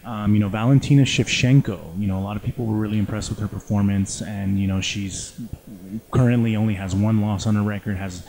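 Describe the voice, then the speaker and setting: A man's voice: lowish voice, warm tone, slight background noise, low-quality recording. A man interviewing casually over the phone in a rambling way, full of filler phrases.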